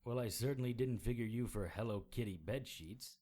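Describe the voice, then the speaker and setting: An actor playing a hard-boiled, sardonic occult investigator in a noir audio drama, blunt and world-weary. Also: male voice, mid-range voice, a little fast, dark tone, close to an everyday voice. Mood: sarcastic, wary.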